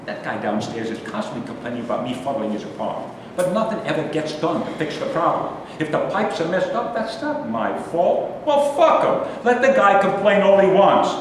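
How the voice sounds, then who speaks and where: A man's voice: expressive, moderate pace, natural voice. A man playing a bitter, griping, foul-mouthed older army veteran in a staged play reading. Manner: aggrieved, profane ranting. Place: indoor live staged reading. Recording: echoey hall, distant mic, rumbling noise.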